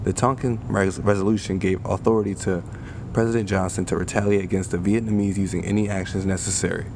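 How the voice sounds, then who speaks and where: Deep-voiced man narrating, rumbly noisy recording. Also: flat delivery, fast talker, a little mellow. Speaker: newsreel narrator. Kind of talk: scripted newsreel narration. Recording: rumbling, clearly noisy background.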